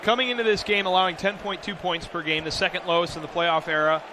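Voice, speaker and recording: A man's voice: high voice, fairly even intonation, quick pace. An American man giving conversational, stat-minded color commentary on a football game for radio. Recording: noisy stadium broadcast background.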